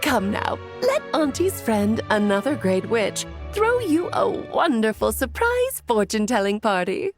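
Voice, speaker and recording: A woman's voice: on the high side, huge pitch swings, unhurried, few pauses, soft background music, heavily acted. A woman voicing a breezy, playful witch auntie in a video game, coaxing sweetly and theatrically as if to a child.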